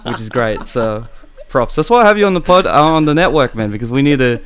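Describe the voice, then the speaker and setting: A man hosts a casual podcast in a smooth, resonant mid-pitched voice. He rambles from thought to thought and keeps the chat light with jokes. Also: very animated, quick pace. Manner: joking, rambling, laughs often. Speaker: podcast host.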